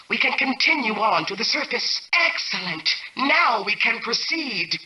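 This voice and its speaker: A woman's voice: medium pitch, sharp tone, echoey room, far from the mic, poor audio. A woman voicing a cold, imperious female mainframe AI in a video game, speaking formally and precisely, with a synthetic computer effect. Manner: formal, precise, haughty machine-like announcements. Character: cold, imperious female mainframe AI, menacing antagonist turned uneasy ally. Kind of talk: scripted video game character lines.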